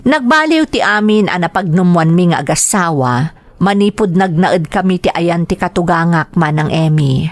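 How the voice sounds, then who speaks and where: An actress with an Ilocano accent playing a troubled, submissive young wife in a radio drama. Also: female voice, fairly low pitch, animated, normal speed, dry recording, lightly acted. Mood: sorrowful, resigned. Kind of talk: Ilocano radio drama acting and first-person narration.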